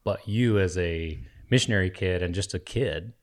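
A man hosting a podcast, an animated storyteller who laughs loudly in casual conversation. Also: deep voice, moderate pace. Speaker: podcast host.

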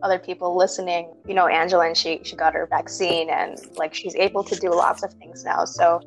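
Easygoing young woman, lowish voice. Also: flat delivery, brisk, faint music behind, rough recording. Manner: easygoing, giggly, uses "like" often. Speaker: podcast co-host. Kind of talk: casual podcast chat.

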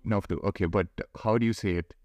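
A man's voice: lowish voice, fast talker, a little mellow, no room sound. A young man with an Indian accent hosting a casual podcast chat, playful and teasing. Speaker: podcast host.

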